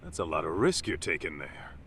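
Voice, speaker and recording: A man's voice: very deep voice, big pitch swings, a little fast, fairly bright tone, slight background noise, full character voice. An actor playing a blunt, street-smart male detective who questions people casually and skeptically in a video game drama. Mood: wry, probing. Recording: music behind the voice.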